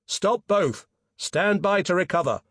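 A man voicing a commanding naval officer in an audiobook, shouting clipped, urgent orders. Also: sits high, expressive, a little slow, no echo, studio quality, pitched above the performer's own voice, heavily acted.

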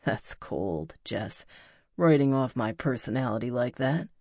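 A woman voicing a cocky, teasing young male rock musician in an audiobook, speaking in an intimate, unhurried way. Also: a bass voice, expressive, a little mellow, no room sound, clean studio sound, voice pushed down, exaggerated voice. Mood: guarded. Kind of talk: audiobook character dialogue.

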